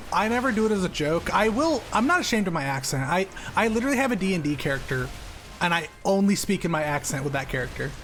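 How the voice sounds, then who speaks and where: A man's voice: sits high, fairly bright tone. A man streaming a game, chatting loosely with a slight stutter, restarting his sentences and laughing often. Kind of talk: casual chat while gaming.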